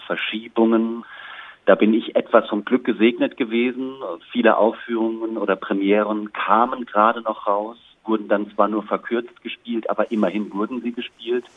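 A man giving thoughtful answers as an interview guest, heard over a thin phone-like line. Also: on the low side.

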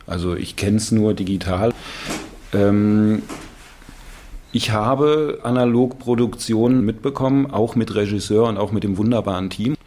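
Husky, mellow, low-voiced man. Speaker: radio producer and director. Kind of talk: casual discussion.

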